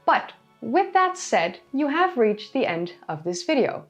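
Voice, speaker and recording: Raspy, lively woman narrating history. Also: on the high side, unhurried, lo-fi sound, soft background music. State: hoarse from recent illness. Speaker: history channel host. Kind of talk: scripted educational narration.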